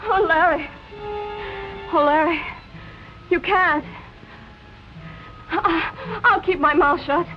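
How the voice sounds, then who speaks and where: A woman's voice: extremely high pitch, slow pace, pauses often. An actress playing a frightened, stammering woman pleading as an accomplice in a vintage film noir. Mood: terrified pleading. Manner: breathless, stammering, imploring. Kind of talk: 1940s film noir melodrama acting. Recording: muffled old film soundtrack, music underneath.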